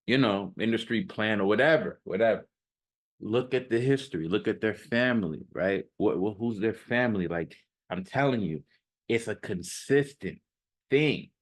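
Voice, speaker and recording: A man giving an unscripted motivational monologue as a self-improvement coach, talking in an emphatic, repetitive, slangy and casual way. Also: fairly low pitch.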